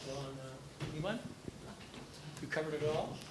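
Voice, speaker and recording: A man's voice: fairly high pitch, unhurried, breathy edge, low-quality recording. A man moderating the question session after a lecture, joking and coaxing the audience to ask. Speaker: event moderator.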